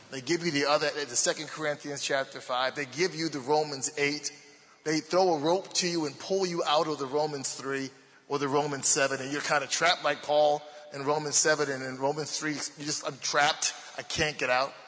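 A man's voice: a little high, a bit bright, big-room sound. A man with a Baltimore accent, a pastor leading a panel discussion and teaching through repeated points.

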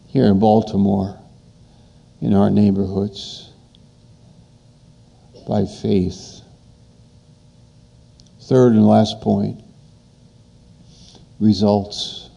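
A man preaching a sermon as a pastor, emphatic and repetitive, with pauses between phrases. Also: lowish voice, flat delivery, gravelly, mellow, slightly rough, dull audio.

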